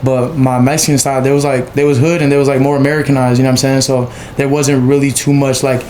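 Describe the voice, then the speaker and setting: A man speaks in a fairly flat, even tone and rambles through his thoughts with plenty of fillers. He is a podcast guest. The recording carries a roomy echo. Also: medium pitch, quick pace, a little hiss or hum. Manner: rambling, many fillers and 'you feel me'. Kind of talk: casual chat. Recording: echoey room.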